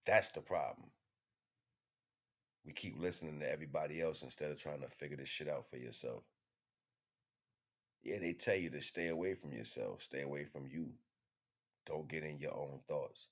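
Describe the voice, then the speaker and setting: A man delivering an impromptu spiritual monologue in an emphatic, repetitive, slangy street-preacher manner, with a husky voice. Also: a bass voice, moderate pace, long pauses. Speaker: spiritual teacher streaming online.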